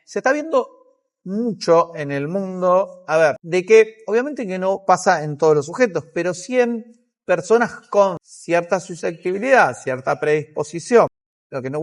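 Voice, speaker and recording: A man with an Argentine accent, a psychiatrist giving explanatory interview answers in a measured way, thinking aloud as he goes. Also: fairly high pitch.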